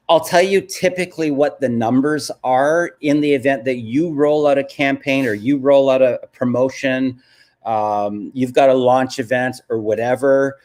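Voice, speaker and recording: A man's voice: mid-range voice, a little mellow. A man hosting a live stream, answering viewers and stressing points with frequent "okay?" check-ins. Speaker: host of a live business stream.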